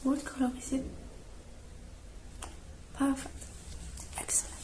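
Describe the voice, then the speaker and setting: A woman whispering softly and soothingly in an ASMR roleplay, speaking directly to the listener as a repair technician. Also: sits high, flat delivery, slow, rough recording. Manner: soothing, personal attention addressed to the listener. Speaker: ASMR creator playing a robot repair technician. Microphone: close ASMR microphone.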